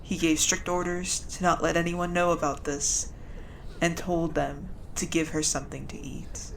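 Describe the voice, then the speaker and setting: A woman playing a grieving, solemn speaker at a noble family's funeral in a fantasy audio drama, with measured, halting delivery. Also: low voice, level tone, gravelly, slight background noise, poor audio. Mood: solemn, reverent. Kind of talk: audio drama eulogy with solemn scripture-like reading.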